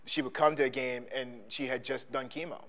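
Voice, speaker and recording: A man co-hosting a podcast, speaking in a casual conversation, halting with many restarts and ums. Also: mid-range voice, fairly even intonation, a crowd in the background. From Philadelphia. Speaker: podcast co-host; sports coach and trainer.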